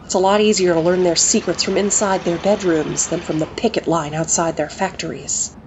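A woman's voice: fairly low pitch, steady tone, fairly quick, natural voice. A woman playing a cynical, profane journalist who rages at the people running her world. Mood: intense. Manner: blunt, profane, scornful. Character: cynical fiery woman journalist, furious at corporate rulers. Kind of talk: audio drama acting. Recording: slightly dull audio with faint noise.

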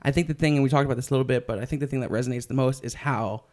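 Chatty, excitable young man interviewing. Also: mid-range voice. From Philadelphia area. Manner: enthusiastic, swears casually, quick laughs. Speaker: interviewer host. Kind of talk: casual interview chat.

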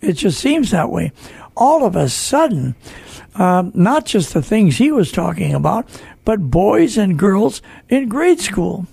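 A man's voice: high voice, sing-song, unhurried, vocal fry, a little mellow, husky, no echo. An older American man hosting a talk show, earnestly exhorting listeners in a conversational preaching style with repeated phrases.

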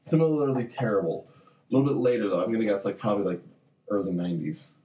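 A man's voice: lowish voice, quick pace, roomy sound, low-quality recording. A man co-hosting a podcast in a casual, rambling chat. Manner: lively, rambling, many "like" fillers. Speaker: podcast co-host.

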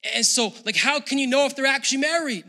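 A man preaching a sermon as a pastor, talking casually to people at home and rising to loud, emphatic passages. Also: very high-pitched, fast.

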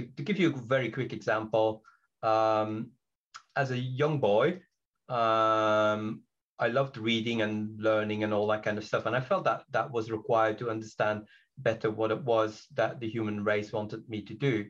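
A man from Belgium, whose first language is not English, speaking as a podcast guest in a reflective way with many pauses and restarts. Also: fairly low pitch, flat delivery, fairly bright tone, muffled recording. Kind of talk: podcast interview.

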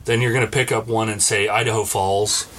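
A man's voice: fairly low pitch, fairly even intonation, a little fast, a bit bright, a little hiss or hum. A man hosting a podcast, explaining things casually in a stop-and-start, conversational way and checking that his listener follows. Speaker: podcast host.

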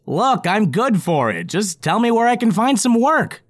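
A small, vain goblin full of swagger, his voice leaping high and excited from word to word. He sounds cocky and eager in a storybook tale, close and dry with no room around him. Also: normal speed, dry recording. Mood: eager pleading.

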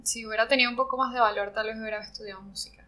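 A woman's voice: a little high, fairly even intonation, very fast, pushed and bright. A Venezuelan woman from Margarita Island answering interview questions in a reflective way, often searching for words. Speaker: interview guest. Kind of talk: conversational interview.

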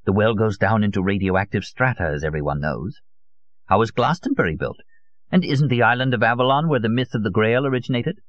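A man's voice: fairly low pitch, a little fast, no echo, voice pushed up, close to an everyday voice. A man voicing a fervent elderly conspiracy theorist in an audiobook, emphatic and rhetorical, holding forth in rambling monologue. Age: older. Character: elderly Russian male conspiracy theorist, fervent, nostalgic taxidermist. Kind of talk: dramatised audiobook character. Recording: dull, muffled lo-fi audio.

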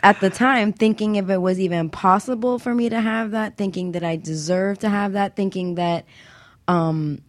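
A woman's voice: medium pitch, steady tone, moderate pace, no echo. A woman on a talk show chatting candidly and casually about herself, a little hesitant as she opens up. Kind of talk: candid casual personal chat.

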